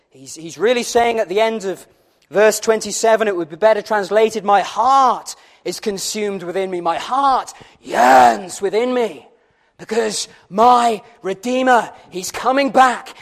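A man preaching an evangelistic sermon in a fervent, solemn and emphatic way, building on repeated phrases and rhetorical questions. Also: high voice.

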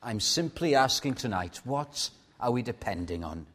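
A man with a Welsh accent, a native Welsh speaker, preaching a sermon in a measured way and putting rhetorical questions to his congregation. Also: medium pitch, animated, fairly bright tone. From Wales.

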